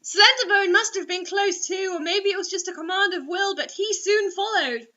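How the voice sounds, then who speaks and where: A woman's voice: very high voice, normal speed, pushed and bright. A woman reading a story dramatically as a host, breaking in with sarcastic, mocking asides. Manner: theatrical, sarcastic. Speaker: host reading bad online fiction aloud. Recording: dull lo-fi recording.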